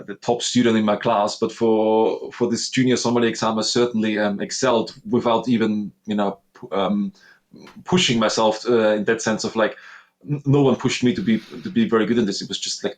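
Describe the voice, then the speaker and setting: A man in his thirties with an Austrian accent speaks as an interview guest in a somewhat low voice. He tells his story in an earnest, reflective way. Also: steady tone, a little fast. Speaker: guest, master sommelier. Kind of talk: conversational interview.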